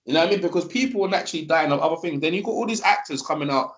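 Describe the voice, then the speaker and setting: Animated man, rambling fast. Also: on the high side. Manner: rambling, repetitive, animated conspiracy talk. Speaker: host. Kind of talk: casual live-stream chat.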